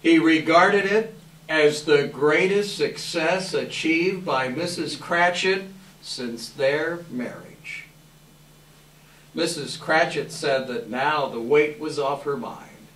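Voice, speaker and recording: A man giving an expressive live dramatic reading of a classic story as narrator, with measured phrasing. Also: on the high side. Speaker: narrator, readers theater volunteer. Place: live event room. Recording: echoey room, distant microphone.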